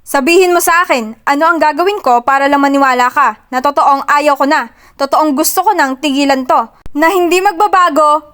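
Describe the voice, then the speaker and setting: A woman playing a young man in a storybook reading, cold and impatient, his lines clipped and pushing toward a shout. Her voice stays high, well above the usual for a man. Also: fairly even intonation, fast.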